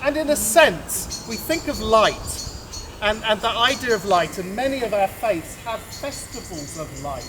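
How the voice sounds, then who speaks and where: A man's voice: sits high, animated, unhurried. A man, a priest, giving a chatty, explaining talk to a gathering and laughing at his own jokes. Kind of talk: informal address to a gathering. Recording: noisy outdoor ambience.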